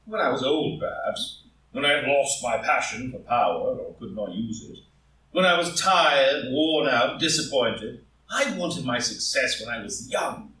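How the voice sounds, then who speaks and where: An echoey, distant recording of a man acting a proud, troubled gentleman in a stage drama. His formal speech is impassioned and fairly high, swinging widely in pitch. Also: expressive, measured pace. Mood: fervent. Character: anguished, proud, ambitious married gentleman politician. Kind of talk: live group play reading. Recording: roomy echo, far from the mic.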